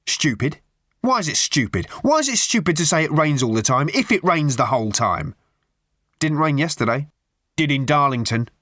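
A man's voice: medium pitch, big pitch swings, unhurried, fairly bright tone, no echo, studio quality. A man voicing a gloomy, indignant lorry driver who complains emphatically in an audiobook story. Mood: affronted. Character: ranting aggrieved gloomy male lorry driver.

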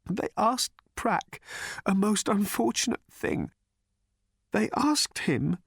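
Crazed weeping journalist for an audiobook, high strained whimper, swings all over, repeats himself. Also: male voice, very animated, a bit bright, dry recording, clean studio sound. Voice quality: whimpering, hoarse whisper rising to strained high cries. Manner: halting, repetitive, overwrought.

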